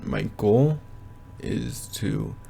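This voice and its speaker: A young man giving a casual, rambling progress update from his bedroom, often pausing to think aloud. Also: fairly low pitch, gravelly, dark tone, breathy edge. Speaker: language learner vlogger. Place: bedroom at home.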